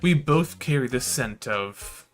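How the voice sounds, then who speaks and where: A man's voice: medium pitch, expressive, slow, over music. A man voicing an obsequious, boastful and opportunistic hotel bellboy in a visual novel, fawning and formal. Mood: smug, boastful. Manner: fawning, formal, peppering speech with sir. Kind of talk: visual novel character read aloud.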